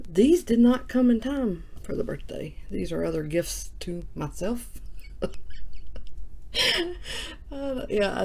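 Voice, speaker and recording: Woman, just woken up, low gravelly voice, mellow, chatty, self-mocking. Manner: chatty and self-mocking, reading off card names. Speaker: card breaker. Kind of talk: casual card-opening vlog.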